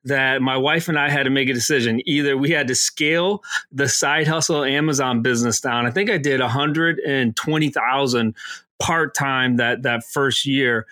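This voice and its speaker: A middle-aged man from Illinois answering interview questions in a relaxed, easygoing way. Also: medium pitch, normal speed, dry recording. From Aurora, Illinois. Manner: relaxed, easygoing, laughs along. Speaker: guest, Amazon seller.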